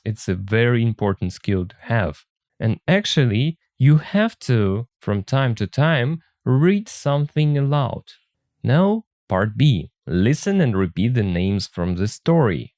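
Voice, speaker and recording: Unhurried man teaching, lively intonation. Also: mid-range voice, no room sound, clean studio sound. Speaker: English teacher. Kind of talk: textbook lesson with casual commentary.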